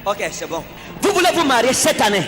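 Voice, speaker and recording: A man's voice: very high-pitched, very animated, a little fast. A man preaching as a prophet at a church service, questioning a woman in short repeated questions. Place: large church hall. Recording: echoey church hall with music.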